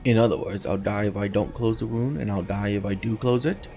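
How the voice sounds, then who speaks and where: An actor playing an earnest knight commander in a fantasy video game, direct and questioning. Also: male voice, on the low side, fairly quick, mellow, a little hiss or hum, lightly acted. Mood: troubled, challenging.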